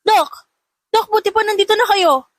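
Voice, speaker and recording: A woman's voice: moderate pace, dry recording. A woman voicing an anxious, caring female hospital nurse who speaks with urgency. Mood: alarmed. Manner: urgent, high, calling out.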